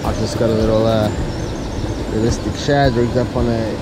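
A man's voice: fairly low pitch, flat delivery, moderate pace, very noisy. A man coaching a child in casual, slangy chat while fishing, recorded outdoors on a body-worn camera. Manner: encouraging, coaching, informal slang. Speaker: father fishing with young child. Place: outdoors by a canal. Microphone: action camera worn on body.

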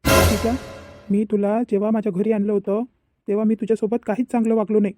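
A man's voice: sits high, flat delivery, fast, over loud music, natural voice. A man playing an earnest, protective young husband in a cartoon family drama, with a Varhadi Marathi accent. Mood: earnest, tender. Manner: earnest, explanatory.

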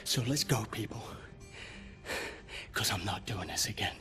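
A man's voice: on the low side, lively intonation, unhurried. A man playing an exhausted, irritable driver in a game drama, grumbling wearily. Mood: weary, irritable. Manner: weary, grumbling. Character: exhausted, irritable male driver on a resistance mission. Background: music.

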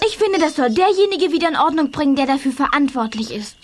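A woman's voice: high voice, lively intonation, fast. An actress playing a sweet but firm little girl leader in a children's audio drama. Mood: firm, explaining.

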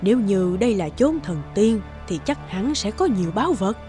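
An actress voicing a timid, curious and secretly greedy middle-aged nursemaid in a cartoon fairy tale, hesitant and muttering to herself. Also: female voice, mid-range voice, expressive, moderate pace, dark tone, close to an everyday voice. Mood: scheming. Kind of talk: animated fairy-tale dubbing. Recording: background music under the voice.

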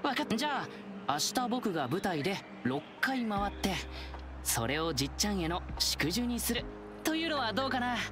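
Woman doing a cheery young lion dancer guy from a game, boyish n friendly, really bouncy pitch, music behind. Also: very animated, normal speed, natural voice. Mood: cheerful. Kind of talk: video game voice acting.

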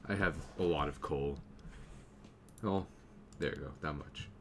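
Very deep, slow man with a cold. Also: creaky, a bit bright, slight background noise. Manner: easygoing, thinking aloud while playing. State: slight cold, clearing throat. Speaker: gameplay commentator. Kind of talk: casual let's play commentary.

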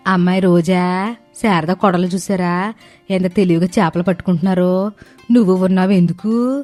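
A woman's voice: lowish voice, fairly even intonation, moderate pace, a little mellow, faint music behind, natural voice. A woman voicing an envious, bossy older neighbour in a cartoon, nagging and urging.

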